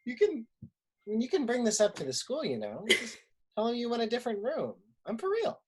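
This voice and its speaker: A woman's voice: a little high, wildly swooping pitch, fairly quick, breathy edge, full character voice. A woman playing a blunt, incredulous young college friend in a staged reading, teasing and sardonic. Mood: skeptical, blunt. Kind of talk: live staged reading of a play over video call. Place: online video call staged reading.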